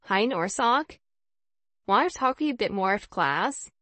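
A woman's voice: medium pitch, expressive, normal speed, a bit bright, no echo. Woman acting a friendly schoolgirl chess leader, dry close sound. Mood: friendly, encouraging. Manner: instructive, encouraging. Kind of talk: reading visual novel dialogue aloud.

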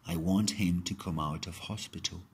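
A man narrating a children's story aloud in an expressive, dramatic way. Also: deep voice, normal speed, a little hiss or hum.

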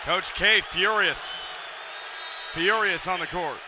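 Male color commentator over arena crowd. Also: sits high. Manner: quick analytical remarks between plays. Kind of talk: radio basketball color commentary. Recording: loud arena crowd and noise.

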